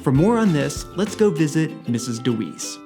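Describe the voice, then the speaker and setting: Lively American woman hosting over guitar music. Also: sits low, normal speed. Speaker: show host. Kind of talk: scripted presenting for children's educational show.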